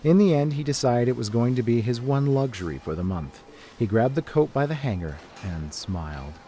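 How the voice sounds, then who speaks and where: A man's voice: medium pitch, expressive, moderate pace, warm tone, a little hiss or hum. A man reading scripted story narration as the narrator of an audio drama. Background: music.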